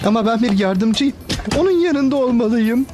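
Timid cartoon sidekick: high, jumpy pitch, nervous stammer, music behind. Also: male voice, animated, normal speed. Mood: worried. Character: timid, earnest, worried male superhero sidekick. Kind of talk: animated cartoon dub.

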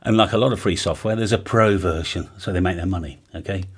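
A middle-aged man with an English accent and a husky voice, explaining technical matters informally and emphatically, often checking in with the listener. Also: deep voice, fast talker. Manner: conversational, emphatic, checks in with "right?". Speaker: presenter. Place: home music studio room, indoors.

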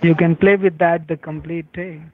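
A man's voice: a little high, level tone, normal speed. A man with an Indian accent on a phone call, arguing in a crude, taunting, foul-mouthed way. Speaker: phone scammer. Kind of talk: heated phone argument. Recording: tinny narrowband phone line.

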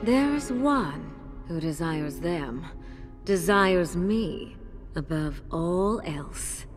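A woman's voice: fairly low pitch, animated, drawn-out words. A woman playing a cold, commanding, regal spirit of death in a video game cutscene, speaking in a solemn, imperious way. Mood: weary, commanding. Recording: long cavernous reverb, music behind.

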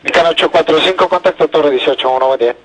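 A man's voice: a little high, fast talker, poor audio. A man with a Mexican accent working as an air traffic controller, speaking clipped phraseology over aviation radio.

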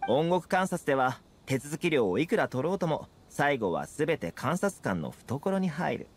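A man voicing an easygoing, confident young fixer in a Japanese video game, talking smoothly and persuasively. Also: on the high side, sing-song, measured pace, full character voice. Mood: easygoing, friendly. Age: young adult.

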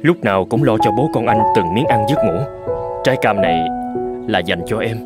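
A man's voice: lowish voice, lively intonation, normal speed, natural voice. An actor voicing a kind, hardworking peasant husband and father in a cartoon, earnest and reassuring. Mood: affectionate. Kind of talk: Vietnamese cartoon voice acting. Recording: loud background music. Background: music.

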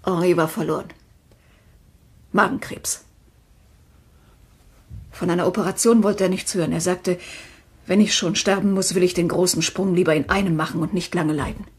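An actress playing a distraught, stubborn young-widowed woman in a German thriller radio play, husky and breathy. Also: fairly low pitch, fairly even intonation, normal speed, long pauses. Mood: grieving, subdued.

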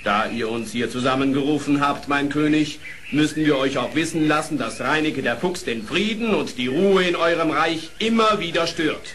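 An actor playing an accusing wolf vassal in a fairy-tale audio play, telling things in a formal, plaintive way. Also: male voice, on the high side, moderate pace, noisy background, close to an everyday voice. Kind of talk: German fairy-tale radio play acting.

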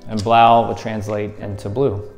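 A man's voice: lowish voice, little pitch movement, unhurried, a little mellow, faint music behind. A man in his thirties hosting a friendly how-to video, explaining step by step while he handles props at a table indoors. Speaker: escape room tutorial host. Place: indoors at a table against a plaster wall.

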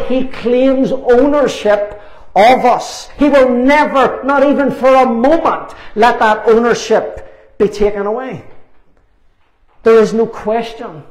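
An older man in his sixties preaches with fervour in a high-pitched voice. He drives points home with emphatic repetition and deliberate pauses. The room adds a clear echo around his words. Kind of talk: fervent sermon. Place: small church meeting room, indoors. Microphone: lapel mic. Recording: roomy, echoing meeting room.

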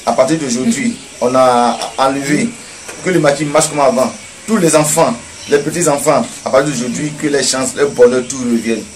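Halting man on noisy outdoor recording. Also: medium pitch. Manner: halting, repeating words. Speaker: interpreter relaying another person's words. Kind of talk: spoken interpreting in short phrases. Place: open-sided yard outdoors. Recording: noisy outdoor phone video with birdsong.

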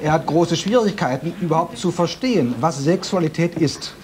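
Male panel expert, calm and wry, slightly high voice; studio debate tape. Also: moderate pace, background noise. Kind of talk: heated TV debate.

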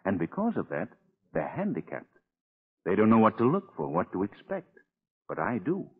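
Deep-voiced, commanding 1930s radio sleuth. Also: male voice, wildly swooping pitch, moderate pace, slightly rough, exaggerated voice. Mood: confident. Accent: American. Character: bold, self-assured amateur sleuth, protective gentleman. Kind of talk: 1930s American radio drama acting. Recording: muffled lo-fi 1930s radio recording.

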